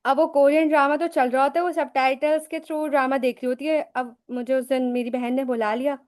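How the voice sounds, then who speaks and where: A woman's voice: sits high, steady tone, fairly quick, darkish tone. A woman hosting a live English lesson, explaining in a chatty way with rhetorical questions and personal examples, often mixing English phrases into her talk. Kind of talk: casual live teaching talk.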